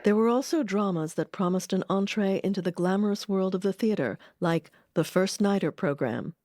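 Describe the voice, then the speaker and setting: A woman's voice: medium pitch, normal speed, darkish tone, dry recording. A woman reading scripted documentary narration with wry personal asides.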